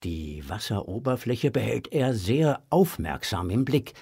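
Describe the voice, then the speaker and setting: A man narrating a children's audio drama in a scripted storytelling style, with a husky voice. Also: fairly low pitch, very animated, unhurried, darkish tone.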